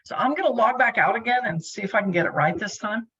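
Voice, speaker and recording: A middle-aged woman chatting casually and apologetically as she hosts an online club meeting from a bedroom office, with a husky voice. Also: fairly high pitch, expressive, fast, fairly bright tone. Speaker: club meeting host, filling in for the president. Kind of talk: casual pre-meeting chat on a video call. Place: bedroom used as a home office. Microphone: video call. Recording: dull, lo-fi video call audio.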